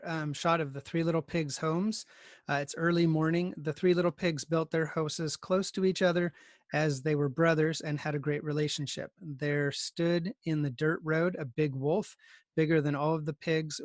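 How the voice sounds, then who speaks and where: A man's voice: fairly high pitch, fairly even intonation, moderate pace, no echo, studio quality. A man leading a script read over a video call, casually moderating and reading scene descriptions. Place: remote video call, close dry sound.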